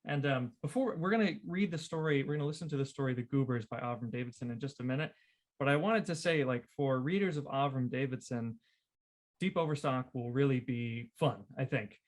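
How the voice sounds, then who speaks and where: A man's voice: medium pitch, fairly quick. A man talking casually on a podcast in an encouraging, agreeable way.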